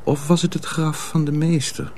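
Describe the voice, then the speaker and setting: A man's voice: on the high side, level tone, normal speed, darkish tone. A man narrating a radio drama as a storyteller, reading a dramatic script.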